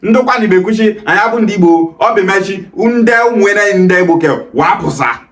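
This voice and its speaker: A man's voice: high-pitched, animated, fast talker. Emphatic Nigerian man in an echoey room. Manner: emphatic, gestures freely, rises sharply on rebuttals. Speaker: video host. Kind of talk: passionate direct-to-camera talk. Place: small indoor room against a plain wall.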